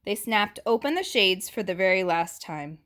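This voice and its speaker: A woman reading a picture book aloud to young children in an animated teacherly way, pausing to ask questions and chat about the story. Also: medium pitch. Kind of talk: expressive read-aloud storytelling with asides and questions.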